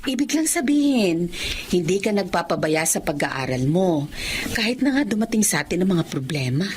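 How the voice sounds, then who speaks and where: A woman's voice: on the low side, very animated, moderate pace, darkish tone, a little hiss or hum. A woman acting a devout, caring, mildly scolding mother in a Tagalog radio drama, with a Filipino accent. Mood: proud.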